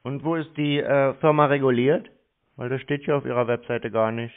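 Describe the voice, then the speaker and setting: A medium-pitched man talks over a thin, muffled telephone line. He sounds like a stubborn customer, casual and dry in how he answers. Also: steady tone, normal speed. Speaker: scam-baiter posing as customer. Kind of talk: casual phone conversation. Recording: muffled narrow-band phone line.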